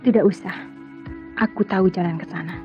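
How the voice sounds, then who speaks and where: A woman's voice: lowish voice, a little fast, natural voice. An actress playing a proud, guarded woman doctor with a sardonic streak in an Indonesian radio drama. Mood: brisk. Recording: dull radio recording, music behind.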